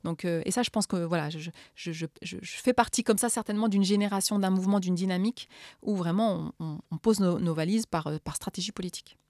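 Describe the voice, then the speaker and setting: A middle-aged woman from Morocco answering interview questions thoughtfully, often pausing and repeating words as she searches for them. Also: lowish voice, a little mellow, no room sound, clean studio sound. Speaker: author and political scientist.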